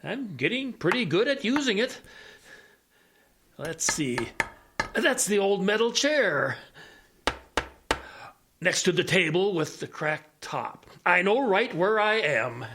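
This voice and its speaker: A man playing a stubbornly hopeful older major, a blinded radio tinkerer, who talks in a halting, rambling way in an audio drama. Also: sits high, very animated, noisy background, full character voice. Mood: reassuring.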